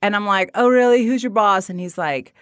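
A woman in her forties, a guest telling stories with lively, acted-out quotes. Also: brisk, no echo, studio quality. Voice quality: low raspy voice in the mornings. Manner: animated storyteller, acts out quotes with big pitch swings. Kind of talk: casual interview chat.

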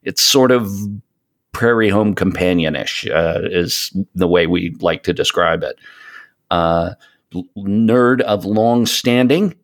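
A man in his late fifties with a low-pitched voice talks as a guest in a casual interview. He feels his way through sentences haltingly, wandering into asides as he describes himself. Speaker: podcast guest, fellow podcaster.

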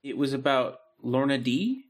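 A man's voice: mid-range voice, expressive, normal speed. A man co-hosting a podcast in casual banter, with a laid-back, loose delivery that often trails off. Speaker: podcast co-host.

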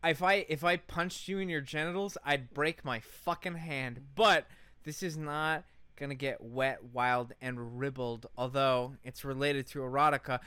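A man's voice: medium pitch, fairly even intonation, measured pace, pushed and bright, clear, resonant tone, no echo. A man co-hosting a podcast, telling stories in a casual, irreverent, joking way with some swearing. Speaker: podcast co-host. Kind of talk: casual comedic storytelling.

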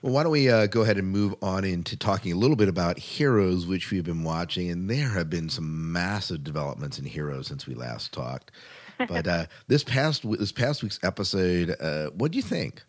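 Low-voiced man hosting a casual chat. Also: animated. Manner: halting, repeats words. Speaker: podcast host.

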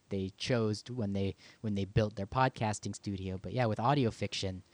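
Man, halting podcast host, dry sound. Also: on the low side, a little mellow, no echo. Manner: halting, thinking aloud with repeated words. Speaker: podcast host and audio producer. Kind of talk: casual conversational podcast talk.

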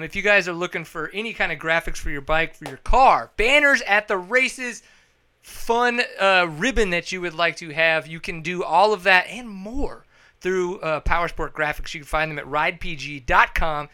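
A man hosting a casual podcast, joking loudly and reading sponsor plugs with lots of energy. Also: on the high side, moderate pace, clean tone. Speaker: podcast host. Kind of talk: casual podcast chat with ad reads.

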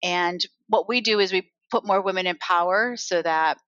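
An American woman, a guest author and coach, answering interview questions in a reflective, unhurried way with many fillers. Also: fairly low pitch, fairly bright tone, no room sound, lo-fi sound. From United States.

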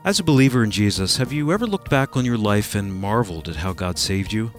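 A man's voice: fairly low pitch, animated, fairly quick, soft background music. A man co-hosting a radio program, reading a scripted introduction in an announcer's role. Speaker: radio show co-host and announcer.

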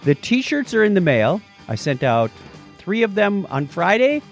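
A playful man hosts a casual podcast, joking as he goes. His voice sits a little high and swings animatedly in pitch. Faint music plays behind him. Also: sing-song, unhurried, creaky. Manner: jokey, playful. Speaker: podcast host. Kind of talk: casual chat, listener shout-outs.

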